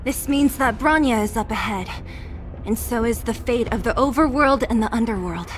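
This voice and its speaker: A woman's voice: a little high, moderate pace, lightly acted. A woman voicing a composed young heroine in a fantasy video game. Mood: quiet, reflective. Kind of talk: dramatic video game voice acting. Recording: music behind the voice.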